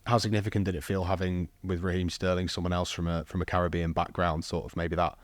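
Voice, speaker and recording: A man hosting a podcast, asking questions in a measured, polite way. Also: low voice, level tone, dry recording. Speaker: podcast host. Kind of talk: podcast interview.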